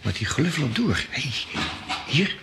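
A man acting in an old radio play, talking quietly and half-whispering while he searches in the dark. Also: a little high, big pitch swings, slow pace, vocal fry, heavy background noise. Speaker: radio drama actor.

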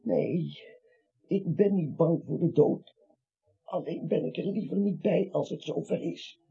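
An actor playing a dying, irritable and absurdly witty little man in a comic radio play, husky and wry. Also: on the high side, a little slow, dark tone, exaggerated voice. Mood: weak, testy. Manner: testy, wry. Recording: dull lo-fi radio recording.

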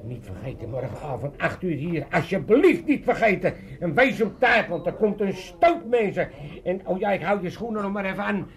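An older father who fancies himself a party chairman. His voice sits high for a man, and he blusters along, muddled and repeating himself, in the manner of a comic radio play. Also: very animated, normal speed. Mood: scheming, self-assured. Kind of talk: comic radio drama acting.

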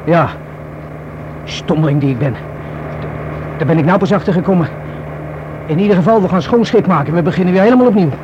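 A man's voice: high-pitched, fairly quick, pauses often, lo-fi sound, close to an everyday voice. An actor playing a wary, rueful young man confiding in the police in a radio drama, colloquial and hesitant. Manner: hesitant, colloquial, self-reproaching. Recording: noisy old radio play recording.

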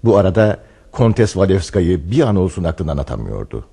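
A man's voice: sits low, very animated, normal speed, vocal fry, warm tone, slightly rough, heavily acted. An actor playing a wry, knowing male storyteller in a Turkish radio play, with a theatrical, teasing delivery. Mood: storytelling. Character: wry male storyteller who chats knowingly with his hero. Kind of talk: Turkish radio theatre acting, narration and dialogue.

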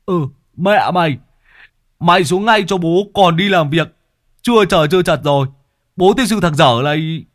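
A man voicing a short-tempered, rough middle-aged laborer who yells and swears. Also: fairly high pitch, moderate pace, pitched above the performer's own voice, lightly acted. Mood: angry. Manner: yelling, swearing.

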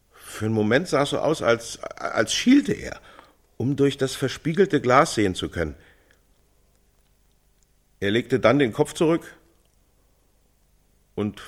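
An actor playing a grave, sober male eyewitness who gives halting, detailed testimony in a radio play. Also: medium pitch, slightly rough, exaggerated voice. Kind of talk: radio play monologue styled as witness testimony.

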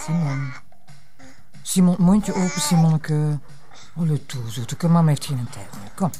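A woman's voice: sits low, a little slow, dark tone, soft background music. An actress playing a busy mother of small children, coaxing and chiding with a Flemish accent in naturalistic television drama dialogue. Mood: coaxing, harried.

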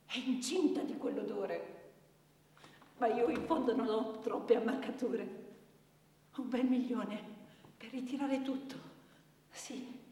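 An actress playing a wounded, bitter young woman in a halting, deliberate theatre monologue. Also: a little high, a little mellow, distant mic, close to an everyday voice. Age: young adult. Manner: halting, deliberate, repeating words, with long pauses. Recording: echoey theatre hall from afar, noisy.

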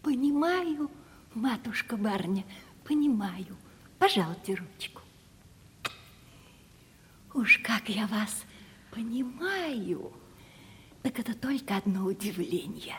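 An actress playing a sly, gossipy, fawning older servant widow in a Russian radio comedy, speaking in an obsequious, insinuating, folksy way. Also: female voice, on the high side, animated, takes it slowly, pauses often, fairly bright tone. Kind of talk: 1970s Russian radio theatre comedy acting. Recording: reverberant studio radio play recording.

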